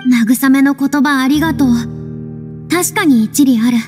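An actress playing a proud yet earnest young woman in a video game, speaking in a measured, theatrical, formal way. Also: sits high, darkish tone. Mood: earnest, subdued. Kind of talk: Japanese video game voice acting. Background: faint music.

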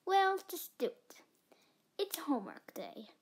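A woman's voice: high-pitched, wildly swooping pitch, unhurried, voice pushed up, exaggerated voice. A young female performer voicing a strict, bossy female gym teacher in a home doll video, curt and commanding. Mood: dismissive. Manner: barking orders, curt. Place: carpeted bedroom floor.

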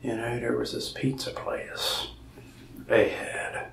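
A young man in a soft-spoken ASMR roleplay as a shop salesman, whispering and rambling in a folksy storytelling way. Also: mid-range voice, level tone, slow, gravelly, roomy sound, recorded from a distance, slight background noise, rough recording.

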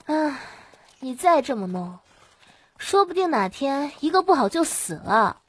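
A woman's voice: high voice, big pitch swings, measured pace. A woman voicing a worried young companion, pleading and scolding, in an audiobook. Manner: pleading, nagging.

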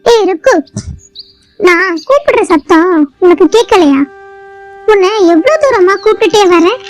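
Woman voicing a very high-pitched, pushy bird housewife. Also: animated, measured pace, soft background music. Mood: impatient, demanding. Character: pushy scheming female bird housewife who cheats vendors. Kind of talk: children's cartoon moral story.

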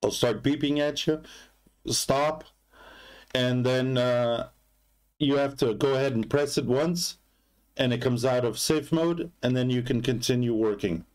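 A man giving a casual product demonstration as a reviewer, speaking haltingly in short phrases. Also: medium pitch, darkish tone.

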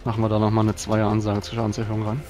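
Fast-talking lower-voiced man at game table. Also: completely flat delivery, a little mellow, faint music behind. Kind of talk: casual game-table chat.

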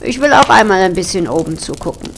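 A woman voicing a gruff, bossy, threatening male cook in a fairy tale reading. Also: mid-range voice, animated, fast, background noise. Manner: bossy, stern.

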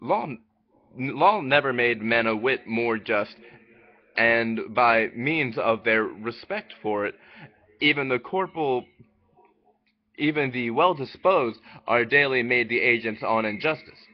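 A man's voice: mid-range voice, unhurried, highs cut off. A man in his twenties reading an old essay aloud in a small room, stumbling and restarting words as he goes. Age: 20s. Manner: stumbling, repeating words and restarting mid-word. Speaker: vlogger. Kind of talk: halting read-aloud under a speech jammer. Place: small room by a window, indoors.

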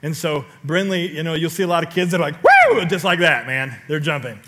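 A middle-aged male pastor preaching on a large church stage into a headset mic, telling stories with sudden loud outbursts and questions to the congregation. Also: fairly high pitch, wildly swooping pitch, a little fast, heavy background noise. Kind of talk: sermon.

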